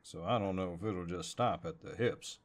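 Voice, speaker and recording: A man playing a flirtatious, easygoing cowboy neighbor with a deep voice and a folksy American Southern drawl, in an intimate roleplay audio. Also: lightly acted. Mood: warm, teasing. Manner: folksy drawl, playful and unhurried.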